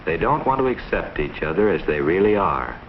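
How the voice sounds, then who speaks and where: A man narrating a 1950s educational film in a measured, analytical manner from a script. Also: low-pitched, very animated. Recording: muffled 1950s film soundtrack, faint noise.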